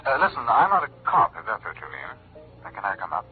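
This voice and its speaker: A man's voice: fairly low pitch, huge pitch swings, quick pace. An actor playing a sly, pushy small-time male crook in an old radio drama, casual and insinuating. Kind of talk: 1940s radio drama acting. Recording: tinny old radio, music behind.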